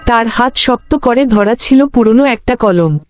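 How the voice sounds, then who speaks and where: A woman's voice: on the high side, animated, measured pace, no room sound. A woman narrator reading a scripted story for a cartoon. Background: background music.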